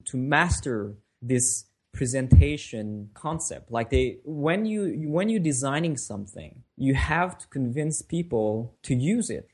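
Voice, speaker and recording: A man whose first language is French, giving casual interview answers as a designer and guest, often pausing and repeating words as he thinks. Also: mid-range voice, breathy edge.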